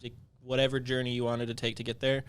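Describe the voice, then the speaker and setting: A young man co-hosting a casual podcast, talking in a relaxed, conversational way with plenty of fillers. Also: mid-range voice, fast, smooth, no echo. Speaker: podcast co-host.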